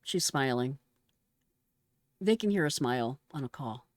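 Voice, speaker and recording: A woman's voice: rumbling low, expressive, measured pace, no echo, studio quality. A middle-aged American woman giving casual, blunt and exclamatory reaction commentary in slangy speech. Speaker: retired emergency dispatcher reacting to a call recording.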